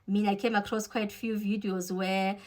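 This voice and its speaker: A woman's voice: medium pitch, level tone, normal speed, a little mellow. Opinionated South African woman vlogging. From South Africa, Eastern Cape. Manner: chatty, opinionated, wry asides. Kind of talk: casual opinion monologue.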